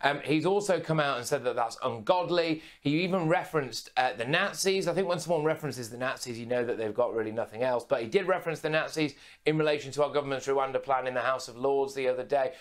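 Brisk, emphatic young man. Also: a little high, expressive. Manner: brisk, emphatic, punchy phrasing. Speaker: TV presenter guest. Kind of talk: opinionated news commentary. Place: remote studio with city view.

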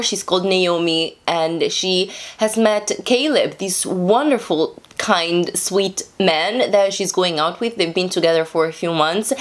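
A woman in her thirties chatting casually to camera about books she has read, animated and talking with her hands, recorded indoors on a camera in front of her. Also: lowish voice. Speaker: book vlogger. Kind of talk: casual book review chat. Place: small indoor room, seated at camera.

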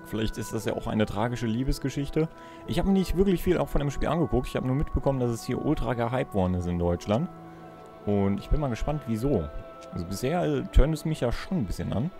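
A man's voice: medium pitch, a little mellow. A man giving casual let's play commentary, musing aloud in a playful way. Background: game music.

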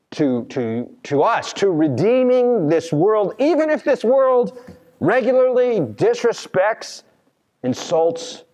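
An American man preaching a sermon as a pastor, speaking earnestly and in a measured way with deliberate pauses. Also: fairly high pitch, darkish tone. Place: large church sanctuary, at a pulpit. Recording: long reverb of a church hall.

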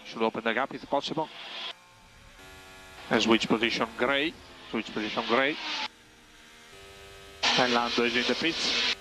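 A man's voice: medium pitch, a little slow, pauses often, noisy background. A man working as a race engineer, giving clipped, factual updates over team radio and repeating key phrases for clarity. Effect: team radio transmission.

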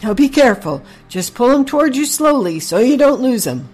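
A woman's voice: high voice, sing-song, fairly quick, darkish tone, husky, soft background music, voice pushed up, exaggerated voice. A woman voicing a patient male horse in a children's story, coaching in a folksy, encouraging way.

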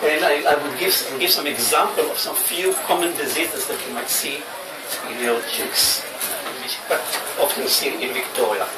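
A middle-aged man from Israel with an Israeli accent, giving an expo lecture as a poultry vet, halting and repeating words as he goes. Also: a little high. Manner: halting, repeats words, non-native phrasing. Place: large tent at an outdoor expo. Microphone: headset mic, recorded by far camera. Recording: echoey tent from a distant camera, noisy.

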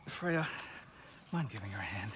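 An actor playing a wounded, easygoing Vanir god with a teasing, laid-back manner in a video game cutscene. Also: male voice, on the low side, sing-song, normal speed, a bit bright, slight background noise. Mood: wry teasing.

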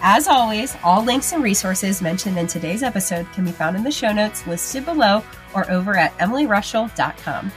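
A woman's voice: mid-range voice, animated, a little fast, hardly any pauses. A young woman hosts her podcast in a mid-range voice, affirming and earnest. Faint music sits behind her. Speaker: podcast host. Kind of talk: podcast interview and outro.

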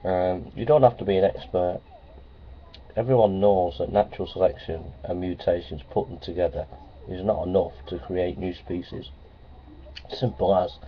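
A man's voice: sits low, steady tone, normal speed. A middle-aged man talking plainly into a webcam in a small office, with lots of ums and repeated phrases. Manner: plainspoken, repetitive, many ums and uhs. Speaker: vlogger. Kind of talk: casual webcam vlog. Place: small office with framed certificates on the wall. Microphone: webcam at close range. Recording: dull lo-fi webcam audio with faint hiss.

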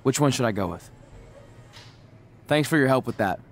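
A man's voice: mid-range voice, animated, moderate pace, a little hiss or hum, close to an everyday voice. An actor playing an earnest young male wrestler, awkward and sheepish.

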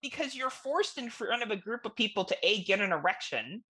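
A woman's voice: medium pitch, expressive, a little fast, bright, forceful tone, breathy edge. A woman hosting a casual podcast, chatting in a frank, informal way. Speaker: podcast host.

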